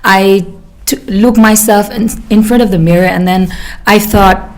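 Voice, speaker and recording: A young woman with a Nepali accent and a husky voice, a doctor and guest on a podcast, speaking about herself in a reflective, halting way. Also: medium pitch, steady tone, poor audio. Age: young adult. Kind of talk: candid personal conversation.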